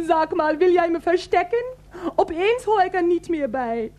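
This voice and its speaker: A woman's voice: very high voice, expressive, a little slow, a little mellow. An actress playing a tearful, jealous girlfriend with a German accent in a radio comedy, plaintive and accusing. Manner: plaintive, accusing, mixes German words into speech. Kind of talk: 1990s radio comedy drama acting.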